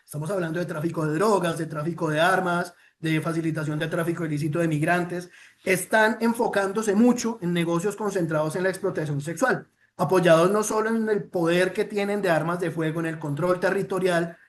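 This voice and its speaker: Colombian man, high-pitched, earnest formal presenter, fillers and restarts. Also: a little fast. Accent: Colombian Spanish. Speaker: presenter of a child protection report.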